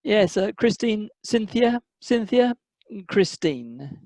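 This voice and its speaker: A man's voice: high voice, sing-song, slow, a little mellow, no room sound, exaggerated voice. A man playing a hesitant, muddled, henpecked husband in an amateur radio drama. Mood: flustered, evasive. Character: flustered, henpecked, forgetful husband.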